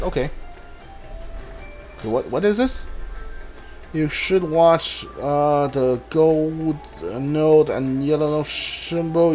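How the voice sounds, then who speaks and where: High-pitched man doing halting gameplay commentary. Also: a little mellow. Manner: halting, mumbling to himself while reading on-screen text. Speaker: gaming streamer. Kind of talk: casual video game playthrough commentary. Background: video game music.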